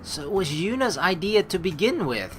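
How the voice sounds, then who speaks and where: A woman with a low voice hosts a let's play, talking in a chatty, slangy way. Her pitch swings in a lively manner as she comments and reads story text. Faint music plays behind her. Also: normal speed. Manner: chatty, slangy, expressive reactions. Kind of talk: gaming commentary, reading story text aloud.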